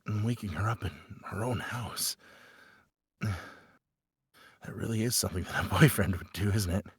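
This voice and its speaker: A man playing an anguished teenage schoolboy who narrates a visual novel fan dub in a halting, emotionally raw way. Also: lowish voice, breathy edge, no echo, studio quality. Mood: hesitant, uneasy.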